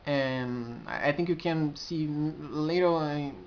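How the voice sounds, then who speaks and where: A man's voice: on the high side, a little hiss or hum. A young man with a strong Brazilian accent speaks to his camera in a sincere, searching way. He stops and restarts his sentences often. The sound is muffled and lo-fi, as from a cheap camera's built-in mic. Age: 20s. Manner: earnest, halting, with frequent restarts. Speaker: community administrator. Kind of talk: casual vlog to camera. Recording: dull lo-fi camera audio.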